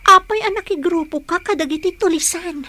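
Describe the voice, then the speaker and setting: A woman's voice: extremely high pitch, a little fast, bright, forceful tone, a little hiss or hum. An actress playing an anxious, pleading young captive woman in a radio drama. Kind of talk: Ilocano radio drama acting.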